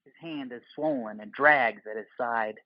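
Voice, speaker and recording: A man's voice: medium pitch, normal speed, dry recording, lo-fi sound. A man narrating an audio play, reading stage directions in terse screenplay phrases.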